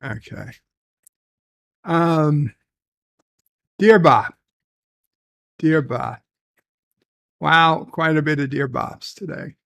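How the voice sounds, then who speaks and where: A man's voice: a little high, drawn-out words, long pauses, fairly bright tone, no echo, clean studio sound. A man from New hosting a casual stream, reading viewer messages and rambling with many self-corrections. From New York City. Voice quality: gravelly. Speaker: stream host.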